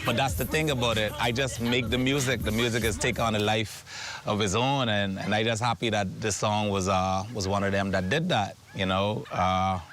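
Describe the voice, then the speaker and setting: A man's voice: on the low side, fairly even intonation, normal speed, fairly bright tone, faint music behind. A man from the Bahamas with a Bahamian accent, chatting casually in an interview in dialect, often saying "you know what I mean". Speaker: interviewed rapper.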